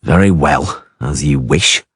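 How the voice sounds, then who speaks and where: A man voicing a gruff, sardonic monster-hunter who talks in a dry, mocking, stern way. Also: rumbling low, slow pace, fairly bright tone, no room sound, voice pushed down, full character voice.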